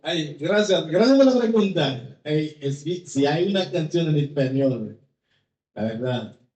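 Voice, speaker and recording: A Haitian singer in his fifties, whose first language is Haitian Creole, answers audience questions slowly and carefully, searching for words in a second language. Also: a little high, expressive, a little mellow. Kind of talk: live public Q&A. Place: cultural venue hall with an audience. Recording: echoey, dull hall sound.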